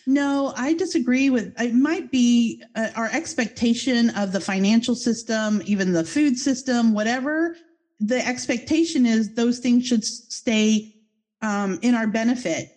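A woman's voice: fairly high pitch, moderate pace, darkish tone. A woman hosting a podcast interview, effusive and a little goofy. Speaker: podcast host.

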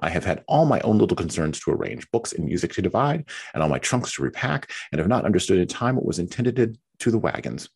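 A patient, sensible young woman with a calm, soothing manner, read by a man in his own deep, husky voice. The lines move with lively pitch. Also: quick pace, hardly any pauses, exaggerated voice. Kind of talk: reading a novel aloud.

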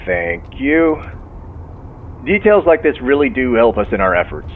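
A man's voice: mid-range voice, very animated, measured pace. Man acting as folksy sheriff's officer, phone-filtered, faint noise, polite, methodical questioning, radio play. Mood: courteous, probing. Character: genial, probing county sheriff's officer on the phone. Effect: phone line filter. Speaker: law enforcement officer. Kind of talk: naturalistic audio drama dialogue. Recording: tinny phone line, car noise.

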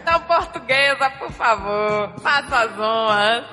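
Brazilian woman, casual podcast reader and chatter, slow delivery, very expressive pitch. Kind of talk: casual reading and banter. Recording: reverberant, music behind.

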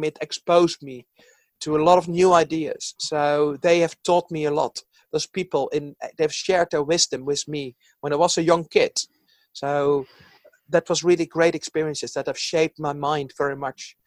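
A man from the Netherlands with a Dutch accent talks in an earnest, thoughtful way, sharing his views as an interview guest. His voice sits fairly high for a man. The sound is dry, with no room around it. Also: no room sound. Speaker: psychologist guest. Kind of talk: reflective interview talk.

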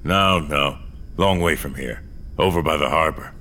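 Very deep-voiced, terse cyborg courier. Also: male voice, measured pace, exaggerated voice. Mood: guarded, terse. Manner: terse, hard-boiled, deadpan. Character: gruff, wary cyborg courier man, world-weary and terse. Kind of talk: audio fiction acting, noir sci-fi.

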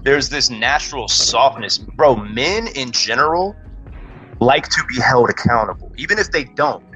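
A man giving his opinions in a casual, slangy podcast conversation, animated and emphatic, often saying "bro". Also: medium pitch, moderate pace, soft background music, poor audio. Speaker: podcast guest.

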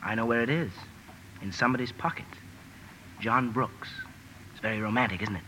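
An actor playing an eager, teasing young romantic, in the emphatic manner of old-time radio drama. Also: male voice, medium pitch, fairly quick, natural voice. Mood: romantic, confiding. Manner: animated, emphatic. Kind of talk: 1940s-50s radio drama acting. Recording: noisy vintage radio broadcast recording.

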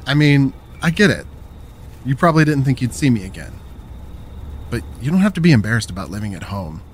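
Actor as easygoing young man, lively intonation. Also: medium pitch, a little fast, slight background noise, close to an everyday voice. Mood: reassuring. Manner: casual, reassuring, teasing. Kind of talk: naturalistic fiction podcast drama dialogue.

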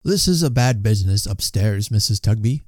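Storybook gentleman doctor done by a man: unruffled, wry, measured, relaxed tone. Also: on the low side, dry recording, studio quality. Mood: grimly matter-of-fact. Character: cool, matter-of-fact gentleman, a parish doctor. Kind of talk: audiobook character voice.

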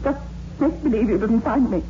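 A high-voiced young woman played by an actress in a vintage radio horror play, secretive and fierce, pleading with urgent melodrama. The sound is tinny and narrow, like an old broadcast. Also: normal speed, slight background noise, lightly acted. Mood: desperate, urgent.

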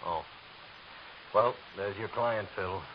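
An actor playing a blunt, businesslike police lieutenant in a 1940s radio drama. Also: male voice, fairly low pitch, highs cut off, a little hiss or hum. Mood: brisk. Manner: brisk, blunt. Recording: old radio recording with busy crowd.

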